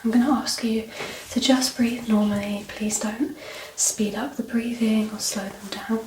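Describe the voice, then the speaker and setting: A woman speaking softly and gently, often near a whisper, as a doctor in an ASMR medical exam roleplay. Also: fairly high pitch, fairly even intonation, unhurried. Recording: roomy echo, distant mic, clear background noise.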